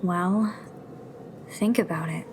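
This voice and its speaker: A woman's voice: on the low side, slow, slight background noise, low-quality recording. Thoughtful young female rebel. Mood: thoughtful, uneasy. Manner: hesitant, thinking aloud, often hushed. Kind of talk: naturalistic sci-fi radio drama acting.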